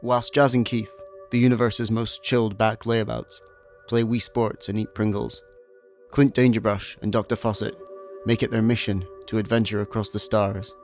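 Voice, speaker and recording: A Scottish man acting as the wry, deliberate narrator of a comic sci-fi radio play, deadpan and mock-grand. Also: fairly low pitch, natural voice. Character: wry, measured storyteller of a comic space adventure. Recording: music behind the voice.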